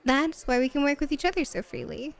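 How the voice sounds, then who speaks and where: A woman acts as a flirty bunny-club hostess with a fairly high voice. She is playful and dominant, teasing her guest with coquettish, drawn-out phrases in an intimate ASMR roleplay. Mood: flirty, fond.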